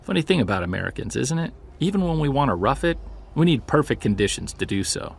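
A man's voice: medium pitch, sing-song, fast talker, gravelly, slightly rough, exaggerated voice. A man acting as a witness who recounts an unsettling childhood memory in a hesitant, conversational audio drama style. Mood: wistful, wry. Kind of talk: naturalistic audio drama storytelling.

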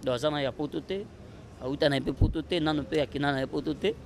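Higher-pitched Congolese man, noisy recording. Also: quick pace. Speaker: interview guest, comedian. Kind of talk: casual interview talk, switching languages. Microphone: handheld interview microphone. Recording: noisy outdoor handheld-mic recording.

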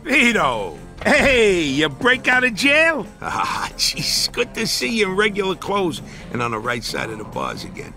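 An actor playing a brash, chummy young American mobster with a boisterous, slangy way of talking in a video game. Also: male voice, high voice, wildly swooping pitch, unhurried, gravelly, few pauses, bright, forceful tone, faint music behind, full character voice. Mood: excited, welcoming. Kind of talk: game cutscene acting.

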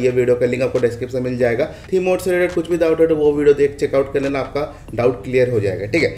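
Casting: Indian male gaming host, mid pitch, casual walkthrough delivery, roomy sound with faint noise. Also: darkish tone. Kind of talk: casual update walkthrough commentary. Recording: echoey room with faint background noise.

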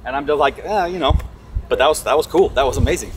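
A man's voice: a little high, quick pace, poor audio. Amazed American guy with noise behind him. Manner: amazed, informal bro-talk. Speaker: vlogger and monster truck driver. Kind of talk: excited casual chat. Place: in a race car and outdoors at a track. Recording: engine and outdoor noise behind.

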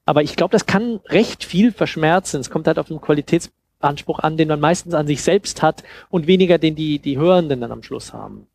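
A man's voice: a little high, fairly quick, creaky, a little mellow. A man in his thirties explaining things informally in a festival talk, speaking into a headset mic. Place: small dim lounge room at a festival.

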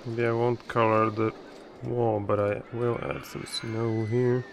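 A man's voice: mid-range voice, little pitch movement, slow pace, creaky, background noise. A man thinking aloud in casual gameplay commentary, rambling and playful, recorded at home. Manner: rambling, playful, breaks into nonsense sounds. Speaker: let's play creator.

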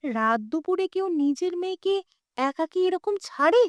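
A woman's voice: extremely high pitch, lively intonation, moderate pace, no room sound, studio quality. A woman playing a concerned, nagging village mother in a cartoon, coaxing and chiding. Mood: shocked, worried. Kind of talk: cartoon dialogue acting.

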